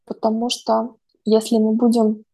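A woman's voice: fairly high pitch, completely flat delivery, measured pace, gravelly, warm tone. A woman, a psychologist chatting casually and reflectively, thinking aloud with frequent fillers. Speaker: podcast host, psychologist. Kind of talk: casual conversational chat. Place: home.

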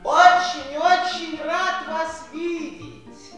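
An actor playing a merry, fussy old uncle in a theatrical sixties audio play, courteous and effusive, given to chuckles and exclamations. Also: male voice, extremely high pitch, fairly even intonation, extremely slow, pushed and bright, heavily acted. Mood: welcoming, apologetic. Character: merry, giggly, fussy bald old uncle who floats. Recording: roomy 1960s studio drama recording with music.

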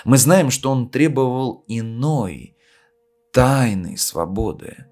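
A man playing a solemn poet who delivers a memorial speech in a measured, declamatory way with deliberate pauses. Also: medium pitch, lively intonation, darkish tone, faint music behind. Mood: solemn, argumentative. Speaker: reader of a poet's speech in an audio play. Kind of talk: literary oration, declaimed reading.

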